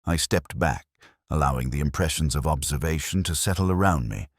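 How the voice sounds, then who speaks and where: A man playing a reflective, observant detective inspector, narrating his mystery in a measured, solemn, literary manner. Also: a bass voice, breathy edge, no echo, clean studio sound, heavily acted. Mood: pensive, watchful. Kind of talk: scripted first-person mystery narration.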